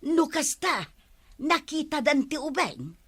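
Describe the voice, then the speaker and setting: Woman playing anxious mother, high-pitched, Ilocano accent, village comedy drama acting. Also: sing-song, takes it slowly, a bit bright, full character voice. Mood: concerned.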